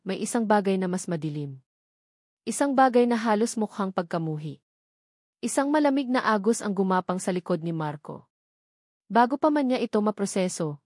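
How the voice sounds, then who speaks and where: A woman's voice: mid-range voice, darkish tone, no echo. Woman narrating a dramatic story, dry sound.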